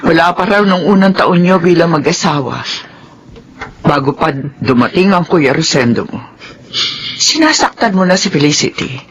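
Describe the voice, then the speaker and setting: Filipino radio drama: sorrowful, regretful mother of grown sons, animated melodramatic delivery, faint noise. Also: fairly low pitch, normal speed, highs cut off. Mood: anguished, tearful.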